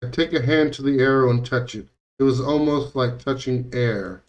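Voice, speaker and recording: A man's voice: medium pitch, normal speed, dull audio. Man narrating a story, distant mic. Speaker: streamer reading a visual novel aloud. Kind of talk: dramatic read-aloud narration of game text. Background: music.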